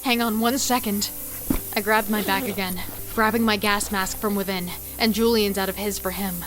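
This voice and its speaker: A woman's voice: medium pitch, fairly even intonation, moderate pace, over music, lightly acted, poor audio. A woman playing a wary, devoted female killer in a horror audio drama, telling and living the story with tense emotion. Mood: urgent. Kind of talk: first-person dramatic narration and horror audio drama dialogue.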